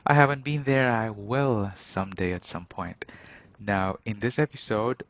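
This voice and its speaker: A man with a very low voice hosts a casual podcast interview, his phrasing friendly and a little non-native. The sound is dry and slightly muffled, with little room in it. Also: no echo. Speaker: podcast host.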